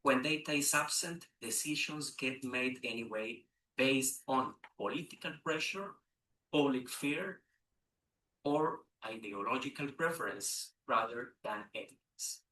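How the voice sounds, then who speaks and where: A man delivering a formal prepared speech at a conference, measured, with a few hesitant restarts. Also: medium pitch, pushed and bright. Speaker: conference speaker. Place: large conference room. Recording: echoey room, distant mic.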